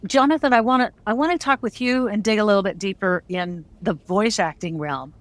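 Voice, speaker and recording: A woman's voice: medium pitch, brisk, dry recording. A woman hosting an interview, asking questions in a conversational, rambling way. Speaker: interviewer host.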